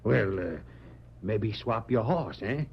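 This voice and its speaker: A man's voice: on the low side, animated, slow, creaky, husky, full character voice. A man playing a greedy, sly frontier swindler with folksy, wheedling talk in an old radio western. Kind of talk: 1940s radio western acting. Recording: dull noisy vintage radio recording.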